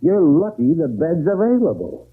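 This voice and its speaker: A man playing a brisk, authoritative hospital doctor in a 1970s radio drama, giving clipped, matter-of-fact instructions. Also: on the high side, wildly swooping pitch, vocal fry, husky, exaggerated voice. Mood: brisk, firm. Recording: muffled, phone-like old radio broadcast recording.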